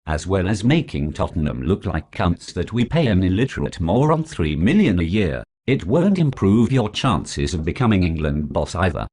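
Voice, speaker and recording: A man playing a deep, sneering prosecutor. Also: big pitch swings, normal speed, few pauses, darkish tone, breathy edge, full character voice. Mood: scornful. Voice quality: sarcastic, contemptuous. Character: sneering foul-mouthed prosecuting lawyer. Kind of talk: comedy sketch acting.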